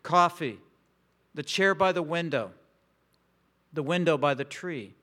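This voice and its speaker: Slow, reflective male pastor. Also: fairly high pitch, animated, pushed and bright. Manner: slow, reflective, intimate delivery with long pauses. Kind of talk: sermon.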